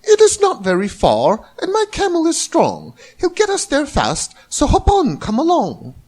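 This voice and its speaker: A man voicing a bossy, smooth-talking older chap in a rhyming children's storybook, grandly reassuring and persuasive. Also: extremely high pitch, wildly swooping pitch, a little slow, darkish tone, pitched above the performer's own voice, full character voice. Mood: eager, persuasive.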